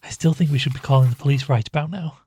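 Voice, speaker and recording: Mellow, anxious man acting as an amateur investigator. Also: fairly high pitch, rapid-fire, husky. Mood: uneasy. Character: anxious man caught up in an occult mystery. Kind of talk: audio drama acting.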